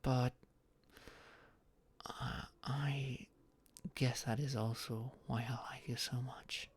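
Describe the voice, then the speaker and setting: A man's voice: medium pitch, level tone, slow, husky. A man playing a shy, flustered young social outcast in a romantic audio roleplay, speaking hesitantly with stammers and sighs. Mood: reluctant confessing.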